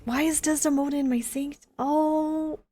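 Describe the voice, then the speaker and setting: A woman's voice: sits high, fairly even intonation, unhurried, warm tone. A woman livestreaming a video game, giving casual commentary and chatting to her viewers. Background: faint video game sound.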